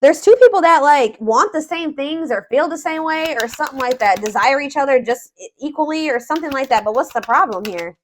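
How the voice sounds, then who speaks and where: Higher-pitched woman musing through a tarot reading. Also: lively intonation, brisk, hardly any pauses, a little hiss or hum. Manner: hesitant, musing, repeats and rephrases herself. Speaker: tarot reader, host. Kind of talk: casual intuitive tarot love reading, thinking aloud.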